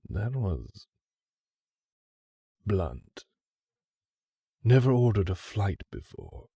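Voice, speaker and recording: A courteous male purveyor to an exclusive hotel, played by a man in an audio roleplay, his voice low, dark and husky. He speaks formally and with dry deference, his slow delivery swooping in pitch. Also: sing-song, no echo, heavily acted. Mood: smooth, dry. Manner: formal, deferential, drily understated.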